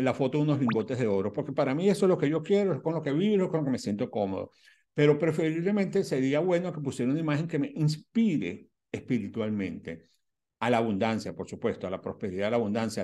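A man's voice: medium pitch, mellow. A man in his sixties speaking to camera as a channel host, explaining didactically with emphatic repetition and questions he answers himself. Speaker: host of a spirituality and prosperity channel. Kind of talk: direct-to-camera advice vlog. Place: small indoor room at home.

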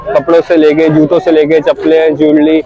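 A hoarse young man from Karachi vlogging casually at a night market, joking as he complains. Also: a little high, monotone, a little fast, dark tone, dull audio. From Karachi, Pakistan. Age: young adult. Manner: jokey, playful complaining. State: hoarse throat. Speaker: vlogger, son. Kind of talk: casual street vlog. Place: crowded outdoor night market. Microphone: phone selfie at arm's length. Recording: busy crowd chatter behind.

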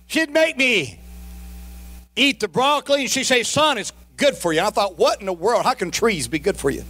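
High-voiced preaching man. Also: animated, moderate pace, pushed and bright. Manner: emphatic, calls out to congregation. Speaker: pastor. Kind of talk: preaching a sermon.

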